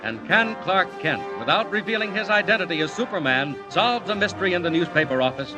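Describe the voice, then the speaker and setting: A man's voice: high-pitched, big pitch swings, brisk. A man announcing a 1940s radio serial from a script, dramatic and suspenseful. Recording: old radio serial, music overlay.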